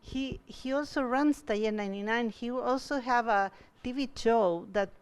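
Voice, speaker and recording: A woman's voice: fairly high pitch, normal speed, smooth. A woman from Chile, a guest artist who answers audience questions at a museum talk and often restarts as she searches for words. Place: museum event space.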